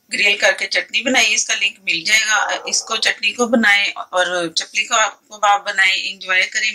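A woman's voice: mid-range voice, bright, forceful tone, low-quality recording. A woman gives a casual, chatty cooking demonstration in her home kitchen. She explains each step as she works and often restarts her sentences. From Pakistan. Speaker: cooking channel host. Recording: clear kitchen clatter behind. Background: pots, pans and clicks.

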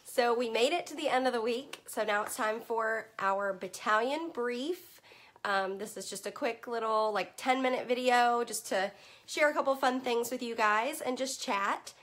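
A woman's voice: a little high, normal speed, a bit bright. A young woman talks casually into a webcam from a room at home lined with bookshelves. Her voice is wearing thin from allergies, and she rambles in a friendly, upbeat way full of "um"s and little asides. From Virginia. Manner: chatty and upbeat, many "um"s, greets viewers by name. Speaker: children's book consultant and host. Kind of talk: casual chat to a live group. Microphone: webcam at desk distance.

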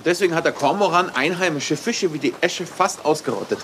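An actor playing an eager, chatty know-it-all police officer, excitable and lecturing, in a crime drama. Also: male voice, on the high side, a little fast, slight background noise, lightly acted.

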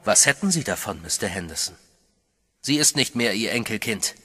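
A vampire master who commands an army of the undead. The voice is low and husky yet bright, measured and cold, dropping to a whisper for threats. Soft music plays beneath. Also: male voice, expressive, heavily acted. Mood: menacing. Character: sinister male vampire master, calm and menacing. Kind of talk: German radio horror drama.

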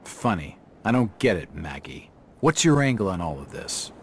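Terse hard-boiled private detective. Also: male voice, low-pitched, sing-song, moderate pace. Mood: suspicious. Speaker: game protagonist. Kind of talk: game cutscene acting. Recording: loud ambient noise behind.

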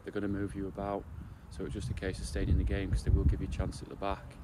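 A man in his forties, a football coach, giving a post-match interview outdoors beside the pitch, talking in a halting way with many restarts and fillers. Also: monotone. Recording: outdoor noise behind.

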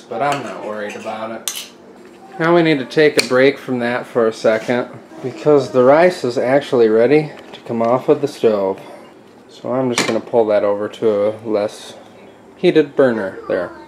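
A man's voice: mid-range voice, normal speed. A man casually narrating his meal prep at home, explaining each step as he cooks at the stove. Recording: echoey room with clear kitchen noise. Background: sizzling pan and stirring.

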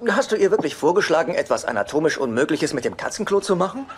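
High-voiced, fussy freeloading brother. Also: male voice, big pitch swings, quick pace. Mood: earnest, wheedling. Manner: hesitant, pedantic, wry. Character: fussy, needy freeloading brother, meek and wheedling. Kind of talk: dubbed sitcom dialogue. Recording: noisy TV sitcom soundtrack.

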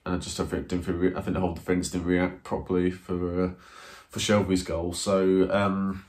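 A man's voice: low-pitched, flat delivery, normal speed, a little mellow. A man, reviewing a football match to camera from a small room, hesitant with many ums and restarts. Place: small indoor room, plain wall. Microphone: camera in front, seated.

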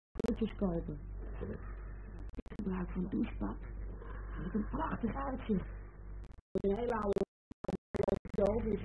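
An actress playing a headstrong eighteen-year-old daughter in a radio play, matter-of-fact and blunt, with short pert retorts. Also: female voice, mid-range voice. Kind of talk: naturalistic radio play acting. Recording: tinny, band-limited old radio recording with noise.

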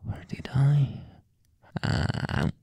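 A young man doing a whispered ASMR roleplay as a teasing boyfriend, talking slowly in breathy whispers with soft chuckles into a close microphone. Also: no room sound.

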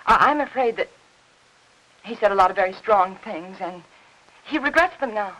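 An actress in her thirties with an American accent playing an anxious, pleading housewife in a fifties film melodrama, halting under strain. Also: medium pitch, expressive, fairly bright tone, poor audio. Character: anxious, pleading suburban housewife guarding a secret. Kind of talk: 1950s melodrama film acting. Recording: hissy old 1950s film soundtrack.

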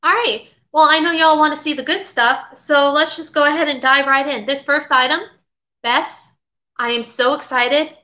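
A woman's voice: high voice, moderate pace, pushed and bright. A middle-aged woman from Florida hosting a casual live stream from her home kitchen, rambling and reading out viewer comments. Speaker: vintage seller hosting a live haul. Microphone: webcam at desk. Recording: slightly dull lo-fi webcam audio.

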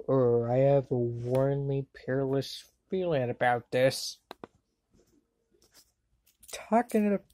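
Shiine-chan, a woman as a low-voiced, swooping young wizard. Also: mellow, no echo, full character voice. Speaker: amateur fandub voice actor. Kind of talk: anime fandub character acting.

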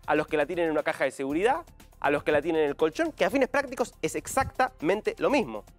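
A man's voice: a little high, fast talker, faint music behind. Argentine guy on tv panel explaining numbers, voice goes up and down a lot. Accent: Argentine Spanish. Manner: explanatory, lively intonation. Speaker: panelist economics analyst. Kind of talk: studio news panel discussion. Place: TV news studio.

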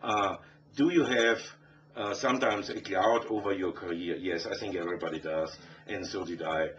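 A man's voice: sits low, fairly even intonation, normal speed, fairly bright tone. A middle-aged man from Austria with an Austrian German accent, a native German speaker answering conversationally as a musician in an interview. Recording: dull, distant, faintly noisy interview audio.

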